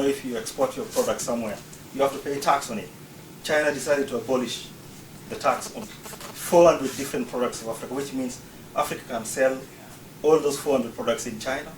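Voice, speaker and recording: A man from Uganda lays out his argument step by step in a prepared talk. His voice has a middling pitch and is picked up from some way off the mic. The room adds a noticeable echo, and there is a faint hum of ambience behind him. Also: recorded from a distance. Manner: explanatory, lists points one by one. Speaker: speaker.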